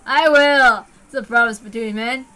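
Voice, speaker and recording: A woman voicing a menacing gunman thug with forceful, folksy speech in a story reading. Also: a little high, normal speed, clear, resonant tone, soft background music, rough recording. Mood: gruff reassurance.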